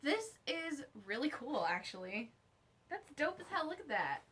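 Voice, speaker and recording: A woman with a fairly high, very bright voice presents an unboxing vlog. She talks in a casual, slangy way, as if chatting to viewers and to herself at once. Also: sing-song, unhurried, slight background noise. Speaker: vlogger reviewing her own merch. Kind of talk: casual unboxing chat to camera.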